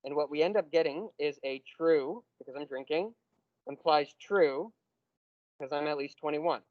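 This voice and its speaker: A man teaching a university math class, explaining step by step and slowing down on key terms. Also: on the high side, no room sound, muffled recording. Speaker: math lecturer. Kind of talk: classroom lecture.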